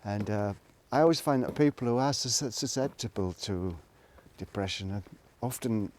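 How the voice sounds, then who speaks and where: A man's voice: lowish voice, normal speed, darkish tone, slight background noise. A middle-aged man, rambling reflectively in a casual solo monologue, hesitant and thinking aloud as he goes. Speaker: solo video blogger.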